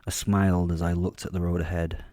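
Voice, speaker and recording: A man narrates a dark audio drama in a very low register. His voice is soft-edged and mellow, recorded close and dry, with a measured storytelling delivery. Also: level tone, dry recording. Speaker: narrator and voice actor. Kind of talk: first-person audio drama narration, horror fiction.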